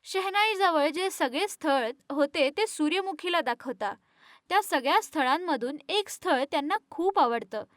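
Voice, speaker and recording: A woman narrating an animated moral story in a scripted storytelling style. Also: high voice, moderate pace, dry recording, clean studio sound.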